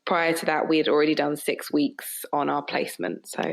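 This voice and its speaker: A woman's voice: low-pitched, flat delivery, a little fast, vocal fry, rough recording. A woman in her twenties with a southern English accent, talking casually and reflectively as a podcast guest. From south of England. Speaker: podcast guest, newly qualifying nurse. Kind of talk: casual reflective interview talk.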